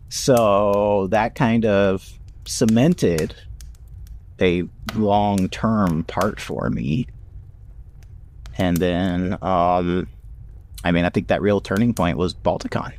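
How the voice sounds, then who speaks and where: A man talking casually and reflectively as a podcast cast member and producer, telling his story in an unhurried way. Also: sits low, a little mellow, a little hiss or hum. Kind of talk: casual chat.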